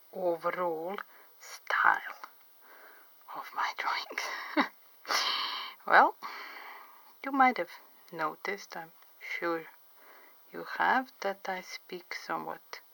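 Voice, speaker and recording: Middle-aged woman, English not her first language, slow deliberate delivery, bright gravelly tone. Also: lowish voice, lively intonation, dry recording, poor audio. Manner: slow, careful, clearly enunciated, pauses often. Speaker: artist and interpreter. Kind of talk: drawing tutorial vlog chat. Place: home studio by a window.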